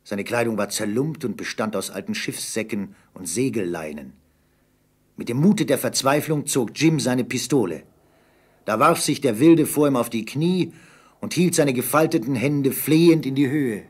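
A man with a husky voice narrating an adventure radio play as scripted storytelling. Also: medium pitch, moderate pace, a little mellow.

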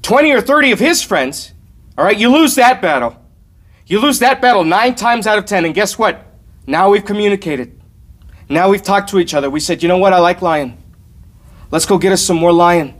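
A man's voice: sits high, animated, normal speed, a bit bright. A man performing a comic film monologue as a cocky, indignant, argumentative ranter. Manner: emphatic, argumentative. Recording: faint background room noise.

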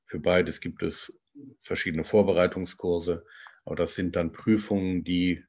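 Bilingual male lecturer, deep voice, measured and explanatory, recorded on a video call from home. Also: little pitch movement, a little mellow. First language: bilingual German and English. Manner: measured, explanatory, with frequent hesitations. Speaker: translator giving a talk. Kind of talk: online lecture over slides.

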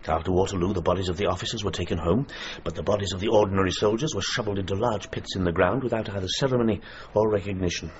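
A man playing a stern senior army commander in a radio play. His voice is low and authoritative, with clipped formal delivery. The sound is dull and slightly noisy. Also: very fast, few pauses, lightly acted. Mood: grudging agreement. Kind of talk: radio drama dialogue. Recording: muffled radio recording with clear noise.

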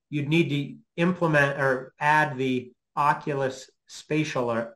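Halting middle-aged man explaining informally. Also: medium pitch, a bit bright, poor audio. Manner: tentative, halting, thinking aloud. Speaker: guest lecturer. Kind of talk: informal explanation in an online lecture Q&A. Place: small home office, indoors. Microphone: computer mic on a video call.

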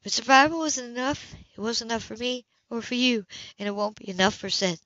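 A woman voicing a tough, wounded woman of twenty-eight in an audiobook, quietly and matter-of-factly recounting. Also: on the high side, brisk, no room sound, close to an everyday voice. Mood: resolute. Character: tough, wounded 28-year-old woman, controlled and unflinching. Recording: slightly dull home recording.

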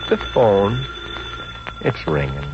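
An actor with an American accent playing an easygoing, wisecracking, lovestruck boyfriend in a 1950s radio comedy. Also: male voice, on the low side, sing-song, slow. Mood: smitten, easygoing. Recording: muffled, noisy vintage radio recording.

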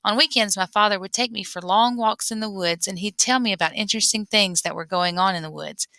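Bright-voiced woman reading aloud. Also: medium pitch, brisk, no echo. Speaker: reader. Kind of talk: expressive read-aloud of a memoir.

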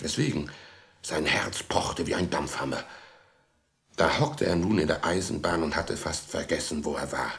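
Deep, creaky-voiced man telling a story. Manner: expressive, suspenseful, with whispered asides and dramatic pauses. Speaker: narrator of a children's radio play. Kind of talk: dramatic storytelling narration, 1960s record radio play.

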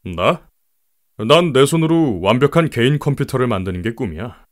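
An actor playing a modest, easygoing young computer builder in a children's audio storybook, amiable and thoughtful. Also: male voice, medium pitch, animated, normal speed, close to an everyday voice. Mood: friendly.

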